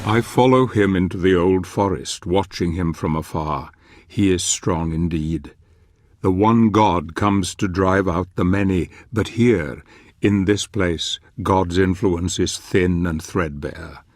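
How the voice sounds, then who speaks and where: An actor playing a grave, watchful older male storyteller, giving portentous, measured narration for a fantasy video game. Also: deep voice, no echo, clean studio sound. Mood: grave, ominous. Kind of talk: dramatic scripted game narration. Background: faint music.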